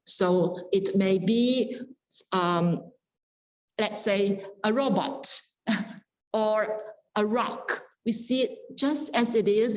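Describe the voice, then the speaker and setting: A woman speaking as a panelist, reflecting in a measured, contemplative way with deliberate pauses. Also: medium pitch, low-quality recording. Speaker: panelist, Buddhist practitioner.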